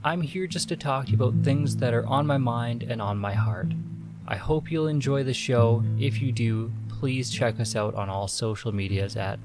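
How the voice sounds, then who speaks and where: A man's voice: mid-range voice, normal speed, loud music behind the voice. A man hosting a podcast in a casual, chatty style. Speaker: podcast host. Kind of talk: casual chat.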